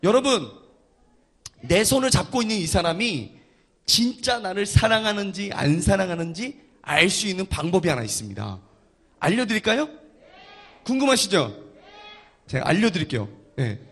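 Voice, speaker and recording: A male pastor leads a church service in a high, husky voice, drawing the congregation out with a joke. His pitch swings widely as he coaxes the crowd into calling back and greeting each other. Soft music plays faintly behind him. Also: moderate pace, pauses often. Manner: playful, joking with the congregation; energetic, coaxing crowd responses.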